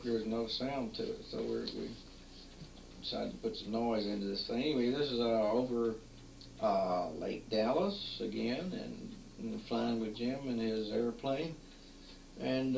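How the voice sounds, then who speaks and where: A man's voice: medium pitch, creaky, darkish tone. Dad in his forties, rambling. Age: 40s. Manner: rambling, halting, many uhs and restarts. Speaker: father narrating home movies. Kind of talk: casual family chat over home movies. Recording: roomy, distant, faint hiss, 1980s home tape.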